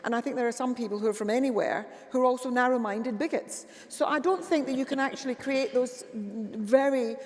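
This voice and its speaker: A woman's voice: fairly high pitch, brisk, few pauses, darkish tone, in a large hall. Hesitant woman chairing a panel. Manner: hesitant, repeats words before getting going. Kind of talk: moderating a live panel discussion.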